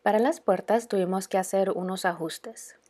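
A woman's voice: medium pitch, normal speed, dark tone. A woman in her thirties casually narrating a home DIY vlog, dropping in the odd English word. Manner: casual, mixes in English words.

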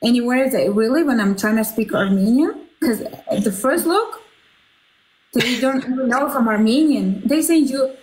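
A woman's voice: a little high, normal speed, darkish tone, poor audio. A woman in her twenties, raised in Russia, hosting a casual livestream from a small bedroom through her phone, chatty and rambling with lots of fillers and casual swearing. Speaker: livestream host. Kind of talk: casual live chat. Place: small bedroom with colored lights. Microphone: phone front camera.

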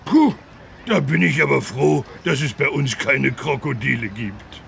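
A man voicing a big, good-natured talking elephant with a gravelly voice and a simple, childlike, friendly manner in a children's game. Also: medium pitch, lively intonation, measured pace, fairly bright tone, soft background music, full character voice. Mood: relieved. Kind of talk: children's learning game voice acting.

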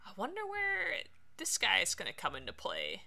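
A woman's voice: mid-range voice, huge pitch swings, moderate pace, creaky, sharp tone. A woman doing casual Let's Play commentary, chatting and thinking aloud as she plays. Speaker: streamer playing a video game.

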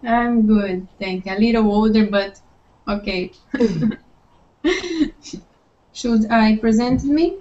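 A woman in her thirties with a Brazilian Portuguese accent speaks slowly over a muffled call line. Her tone is mellow and slightly high, and her manner is cheerful and unhurried. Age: 30s. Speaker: student.

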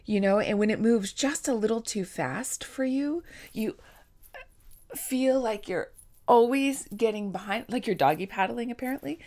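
Gravelly-voiced woman vlogging from home. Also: on the high side, moderate pace. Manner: warm, encouraging, animated with hand gestures. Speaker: creative coach and vlogger. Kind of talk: casual direct-to-camera vlog. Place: home studio room with bookshelves and string lights. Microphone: webcam at desk distance.

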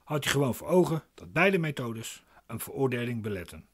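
A man's voice: medium pitch, sing-song, fairly quick, husky. An actor playing a glib, pedantic man explaining legal procedure in a radio play, insistent and didactic. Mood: persuasive.

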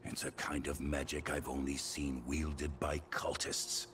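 An actor playing a grave adventurer in a fantasy video game, with gravelly, solemn, deliberate delivery. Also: male voice, a bass voice, little pitch movement, noisy background. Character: grave adult male adventurer hunting demon cultists. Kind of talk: dramatic scripted video game dialogue. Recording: game music behind the voice.

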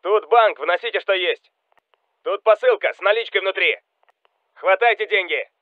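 An actor playing a Russian special forces soldier calling out commanding military game lines over a radio. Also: male voice, sits high, moderate pace, no echo, phone-quality sound. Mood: calm, businesslike. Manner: urgent, commanding.

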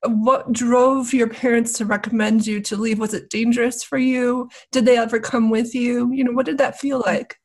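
Friendly young woman host with a slightly high voice, on a headset call. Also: level tone, moderate pace, hardly any pauses. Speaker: interview host. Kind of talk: video call interview. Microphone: headset on video call.

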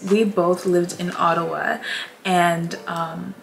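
A lively young woman hosting a casual storytime chat at home, gesturing as she talks. Her voice sits a little low for a woman. Soft music plays faintly underneath. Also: takes it slowly, creaky, poor audio. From Trinidad, born in Montreal. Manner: animated, talks with her hands. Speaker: channel host. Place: small home room, indoors.

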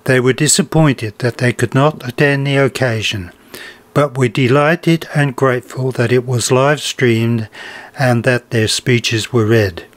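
A man reading a scripted narration in a measured, formal manner. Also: medium pitch.

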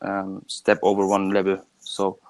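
A young man chatting casually in a calm, fluent manner as a language learner. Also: deep voice, measured pace, poor audio. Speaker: language learner being tested in conversation. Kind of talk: casual chat.

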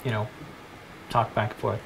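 A man co-hosting a casual live stream from a home workshop, slightly hoarse and rambling with easy laughs. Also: lowish voice, normal speed, a little hiss or hum, low-quality recording. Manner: relaxed, rambling, laughs often. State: losing his voice. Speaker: co-host.

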